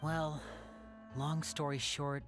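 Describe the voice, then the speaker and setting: An actor playing an earnest, soft-hearted youth in a video game, telling things in a measured way. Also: mid-range voice, level tone, over loud music, close to an everyday voice. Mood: dejected.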